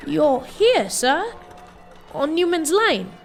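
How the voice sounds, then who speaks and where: A woman playing a devoted young boy, earnest and gentle, in a radio play. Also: high-pitched, very animated, takes it slowly, soft background music, exaggerated voice. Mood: concerned. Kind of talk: theatrical radio-play acting.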